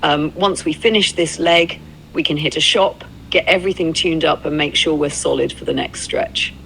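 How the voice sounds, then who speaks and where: An androgynous AI companion voice with a noticeably gravelly creak, speaking at a middling pitch. The delivery is fluent, reflective and agreeable, like conversational storytelling, with clear noise behind it. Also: normal speed, fairly bright tone, poor audio. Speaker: AI companion playing a road-trip documentarian.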